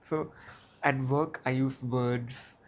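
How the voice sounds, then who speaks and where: A man's voice: medium pitch, steady tone, extremely slow. A man, co-hosting a casual chat in a laid-back, dry way with short answers. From India. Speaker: co-host.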